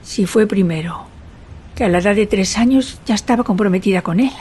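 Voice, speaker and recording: A woman's voice: mid-range voice, fairly quick, a little mellow, slightly rough, lightly acted. An actress playing a dignified, grieving queen who recalls her youth in a solemn, literary radio drama monologue. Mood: sorrowful, solemn.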